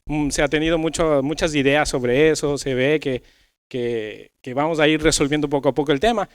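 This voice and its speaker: Male podcast host for church youth, conversational, clean resonant voice, slightly high. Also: normal speed, clean tone.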